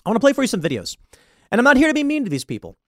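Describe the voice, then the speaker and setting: A man hosting a commentary show, talking through his views in a halting way with many repeated words and sudden loud emphasis. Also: extremely high pitch, wildly swooping pitch, dry recording, studio quality. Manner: hesitant, repeats words, stresses points loudly. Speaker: show host. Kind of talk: political commentary monologue.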